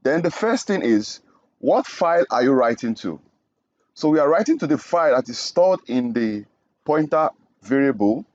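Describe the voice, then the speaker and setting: A young man from Nigeria walks through a coding lesson step by step, pausing to check in with a quick "okay?". His voice sits at an ordinary middle pitch. The sound is dry and a little dull, recorded close at a desk. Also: big pitch swings, a little mellow, dry recording. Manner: explains step by step, often adds "okay?". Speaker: programming instructor. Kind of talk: screen-recorded coding tutorial.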